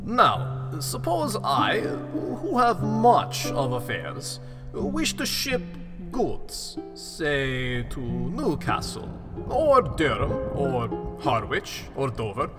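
An actor playing a courteous, commanding old vampire count in a radio play, speaking formally with deliberate, halting phrasing. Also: male voice, fairly high pitch, huge pitch swings, exaggerated voice. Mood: courteous, calculating. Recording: long hall-like reverb, music underneath. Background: plucked guitar music.

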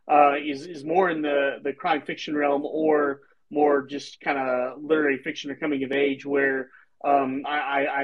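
A man's voice: on the high side, fairly bright tone, rough recording. Rambling man on a video call. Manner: rambling, many fillers and restarts. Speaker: host and publisher's editor. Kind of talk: casual video call panel chat. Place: home room with bookshelves. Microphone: video call webcam.

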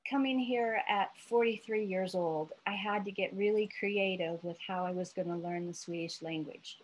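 An American woman in her late forties giving an informal, halting lesson with storytelling, thinking aloud as she explains. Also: mid-range voice, rough recording. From United States, Iowa. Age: late 40s. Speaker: therapist presenting an educational video letter.

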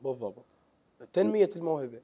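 A man in his thirties with an Iraqi accent, a religious elegy reciter answering interview questions softly and briefly, seated in a TV studio. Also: fairly high pitch, sing-song, fast, vocal fry. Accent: Iraqi Arabic. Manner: soft-spoken, agreeable short replies. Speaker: Husayni elegy reciter guest. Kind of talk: conversational interview with chanted lament verses. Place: TV studio set, indoors. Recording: roomy studio echo.

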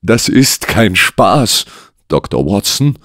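Man playing a desperate, stammering sick inspector, pitched up. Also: sing-song, a bit bright, dry recording, clean studio sound, heavily acted. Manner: urgent, halting, stammering. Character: desperate, sick police inspector convinced he is cursed.

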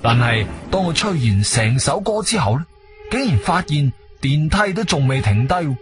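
A male radio drama narrator with a Guangzhou Cantonese accent. His voice sits a little high and he tells the tale conversationally, with interjections, over clear background music. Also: sing-song, moderate pace. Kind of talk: animated radio drama storytelling. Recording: music bed behind studio voice.

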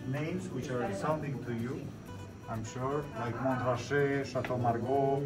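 A man with a Spanish accent gives visitors a guided cellar tour, speaking courteous, halting English. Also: mid-range voice, fairly even intonation, loud music behind the voice. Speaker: wine cellar guide, restaurant staff. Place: indoor wine cellar with ambient noise. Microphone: handheld camera mic at some distance. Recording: echoey room, clear background noise, handheld camera.

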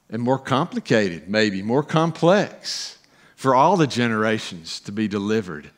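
Middle-aged man preaching from a stage. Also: medium pitch. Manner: animated preaching with pauses and emphatic rises. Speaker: pastor. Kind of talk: sermon. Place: church stage, indoors.

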